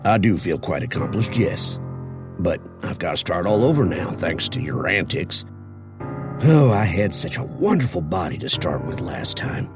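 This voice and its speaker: Wildly theatrical, gloating mad killer. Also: male voice, low voice, big pitch swings, over music. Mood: smug, wistful. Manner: taunting, drawn-out theatrical delivery. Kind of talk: audio drama acting.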